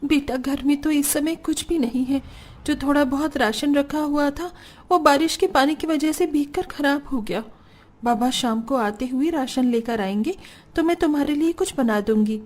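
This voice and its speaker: A woman's voice: high voice, moderate pace, a little mellow, close to an everyday voice. A woman voicing a poor, weary, lamenting family woman in a melodramatic Hindi cartoon story. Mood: resigned. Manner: plaintive, melodramatic.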